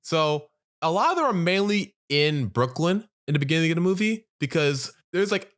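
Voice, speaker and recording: A man co-hosting a casual movie review podcast, talking in a wry, offhand way, his voice going a little raspy. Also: a little high, very animated, normal speed, fairly bright tone. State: slightly raspy voice. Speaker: podcast host.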